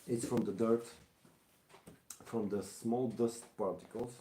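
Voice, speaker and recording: Man, Bulgarian accent, slow halting delivery, mellow tone, casual craft livestream host, thinking aloud. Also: medium pitch, fairly even intonation, echoey room, slight background noise. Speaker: craftsman hosting a live stream. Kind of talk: casual live craft demonstration.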